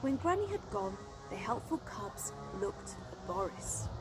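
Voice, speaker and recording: A woman narrating a children's story in an expressive storytelling style, with loud noise in the recording. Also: mid-range voice, a little slow, loud music behind the voice.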